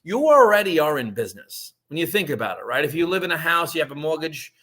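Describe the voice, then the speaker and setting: A man talks loosely to a live audience, stumbling through restarts and tossing in "right?" every few lines. His voice sits slightly high for a man and rings bright and forceful. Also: sing-song, fast talker. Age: 50s. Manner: conversational, rambling with frequent restarts and "right?" checks. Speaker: online business presenter. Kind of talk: live-streamed sales pitch.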